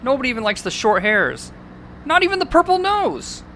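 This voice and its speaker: A man's voice: extremely high pitch, big pitch swings, a little fast, pushed and bright, slight background noise, heavily acted. A man voicing a dejected, timid, bullied young creature boy, mopey and self-pitying, in an amateur cartoon audio drama.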